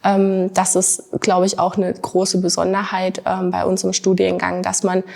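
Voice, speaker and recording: A woman in her twenties from Thuringia, a master's student giving earnest interview answers, often pausing on fillers and repeating words for stress. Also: fairly low pitch, monotone, creaky, a little mellow. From Thuringia, Germany. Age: 20s.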